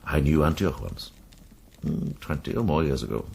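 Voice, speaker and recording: An actor in a radio drama playing a worldly old monk and former crusader, gruff but tender, with a gravelly, halting, reflective delivery. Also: male voice, a bass voice, huge pitch swings, a little mellow, a little hiss or hum, exaggerated voice.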